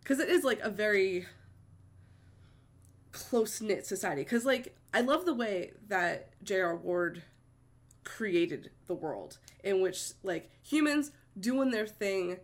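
A woman's voice: mid-range voice, animated, slow pace. A woman hosts a casual podcast in a middle-range voice. Her voice is slightly congested from a cold. She talks with chatty, upbeat enthusiasm. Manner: chatty, enthusiastic, laughs easily. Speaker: podcast host. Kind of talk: casual chat.

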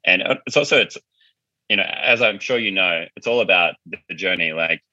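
A man's voice: sits low, a little fast, pushed and bright, no echo, highs cut off. A man speaking as an interview guest in a casual, informal way, explaining things as he thinks aloud. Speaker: podcast guest, marketing agency founder.

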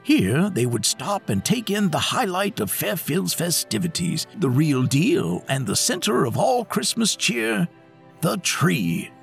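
A man narrating a scripted children's story in an expressive, dramatic way. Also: a little high, a little slow, gravelly, soft background music.

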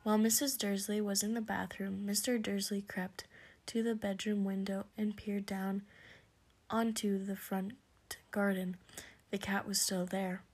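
Breathy female storyteller, hushed whispered reading, dark mellow tone. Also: mid-range voice, little pitch movement, unhurried. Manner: soft whispering narration.

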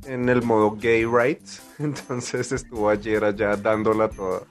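A man's voice: fairly low pitch, level tone, soft background music. A man co-hosting a casual podcast, teasing and chatty. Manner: teasing, chatty, quick asides. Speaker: podcast co-host.

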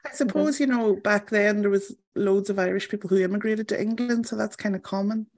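A woman hosting an interview, asking unhurried questions and reacting often with "wow" and "yeah". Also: mid-range voice, darkish tone, no echo. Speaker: podcast host. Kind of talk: interview chat.

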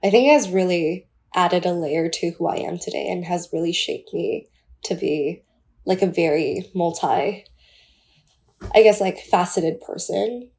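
A woman's voice: fairly low pitch, a little slow, a little mellow. Young woman, twenties, from Taiwan, podcast guest, casual chat, lots of fillers. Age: 20s. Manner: chatty, many fillers like "like" and "um".

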